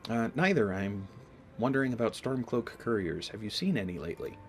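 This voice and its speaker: Deep voice guy w a bit of a cold, chill lets play talk, explains slowly, game music behind. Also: big pitch swings. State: slight head cold. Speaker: gaming video host. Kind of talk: casual let's-play commentary with in-character recaps.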